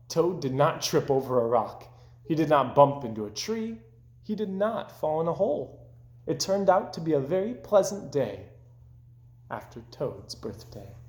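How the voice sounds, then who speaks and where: A man reading a children's story aloud as narrator, expressive, gentle and deliberate. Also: a little high. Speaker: storybook reader.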